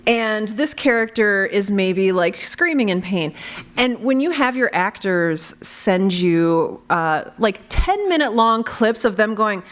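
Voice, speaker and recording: A woman's voice: mid-range voice, animated, slow, a bit bright. A woman moderating a live convention panel in a casual, chatty style. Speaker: panel moderator, audio drama creator.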